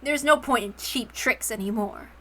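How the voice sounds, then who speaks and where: A woman voicing a calm, confident young male fighter in a visual novel, deliberate and coolly menacing. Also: fairly high pitch, pitched below the performer's own voice. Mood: coolly determined.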